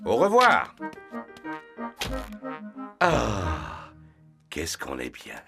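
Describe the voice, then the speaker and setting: A man's voice: sits low, wildly swooping pitch, extremely slow, vocal fry, over music, heavily acted. A man voicing a good-natured, bumbling middle-aged father pig in a preschool cartoon, with hearty exclamations and reassuring talk. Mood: reassuring, contented.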